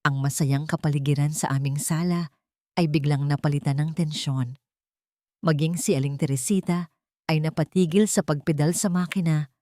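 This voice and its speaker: A woman narrates a scripted drama in the first person. Her voice sits a little low. The recording is dry, with very little room sound. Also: fairly even intonation, moderate pace, mellow, no echo. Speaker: story narrator.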